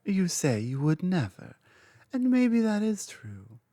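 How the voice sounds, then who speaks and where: A woman voicing an ancient, dominant female dragon queen in an ASMR roleplay audio, speaking in a slow, cryptic, commanding way that slips into soft whispers. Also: fairly low pitch, sing-song, dry recording, studio quality, exaggerated voice.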